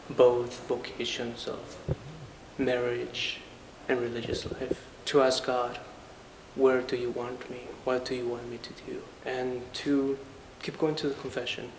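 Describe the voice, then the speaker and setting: Young man, even-toned. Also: medium pitch. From Colombia. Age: 20s. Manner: halting, speaks in short phrases. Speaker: Franciscan friar and painting student. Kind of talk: interview monologue, personal reflection. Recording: noticeable background noise, ambience.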